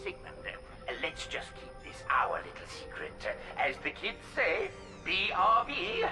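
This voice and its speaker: A man's voice: high-pitched, huge pitch swings, measured pace, over music, exaggerated voice. A man playing a cheerful, doddering elderly alien scientist in a video game cutscene, theatrical, bumbling and whimsical. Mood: chatty.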